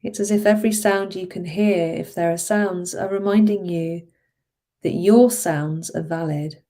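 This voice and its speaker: A woman guides a hypnosis session as a therapist would, very slowly and soothingly. Her voice sits a little low and has a soft, dark, relaxed tone. She draws out her phrases and gently encourages the listener.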